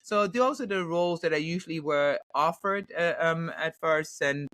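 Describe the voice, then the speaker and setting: A woman's voice: low voice, normal speed, a bit bright, dry recording. A woman from Germany with a German accent, an actress chatting in an interview with lots of fillers and restarts. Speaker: actress, podcast guest. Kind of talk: casual interview answers.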